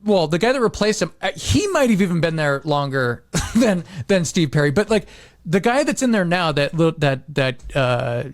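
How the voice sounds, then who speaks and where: A man's voice: fairly high pitch, sing-song, normal speed, no room sound, clean studio sound. A man in casual podcast conversation, telling stories informally and acting out quotes as he goes. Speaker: podcast host.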